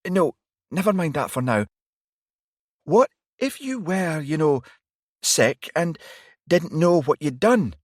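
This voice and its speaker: A woman's voice: low-pitched, animated, slow pace, long pauses, a little mellow, no room sound, clean studio sound, voice pushed up, full character voice. A man voicing a wary young female detective with a blunt, exasperated manner.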